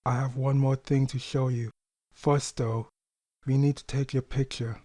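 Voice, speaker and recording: A man voicing a gentle, loving middle-aged father pony in an audio story, speaking in an earnest, tender, measured way. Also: mid-range voice, level tone, no echo, studio quality, close to an everyday voice. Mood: tender, reassuring.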